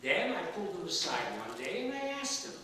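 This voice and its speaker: A feisty, very old father acted by a man in a stage play, stubborn and wry. His fairly high voice swings widely as he makes his emphatic points. The recording is distant and very echoey, with clear noise. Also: big pitch swings, a little fast, pushed and bright, full character voice. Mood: reflective. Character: feisty, stubborn ninety-seven-year-old father in love. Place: theatre stage, distant microphone.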